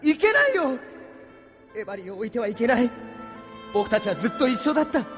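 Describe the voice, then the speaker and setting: A man's voice: very high voice, sing-song, a little fast, music in the background. A man playing an earnest, anguished young hero and reluctant pilot in a Japanese audio drama. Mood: pleading, defiant.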